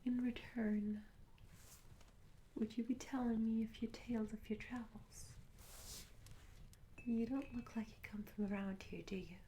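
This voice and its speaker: A charming young tavern maid, acted by a woman in a fantasy ASMR roleplay. She speaks in a soft, breathy half-whisper, courteous and coy, with gentle storybook phrasing. The mic is close, with clear noise and small clicks around the voice. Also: a little high, fairly even intonation, measured pace, pauses often, natural voice, low-quality recording. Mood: coy, curious. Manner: gentle, polite, old-fashioned storybook phrasing. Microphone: close ASMR microphone.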